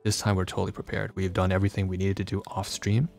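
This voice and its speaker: A man's voice: deep voice, flat delivery, quick pace, gravelly, darkish tone. A man streaming a casual vlog, rambling with lots of fillers and restarts, sounding congested. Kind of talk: casual livestream vlog talk. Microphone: phone.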